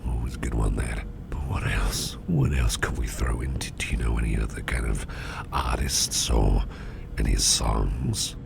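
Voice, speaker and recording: A man playing an attentive, easygoing businessman boss in a soft, breathy, whispery ASMR roleplay, unhurried and soothing, murmuring close to the microphone. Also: steady tone, noisy background, heavily acted. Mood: relaxed, musing. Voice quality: very low, gravelly, breathy near-whisper. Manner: unhurried, soothing, indulgent, musing aloud.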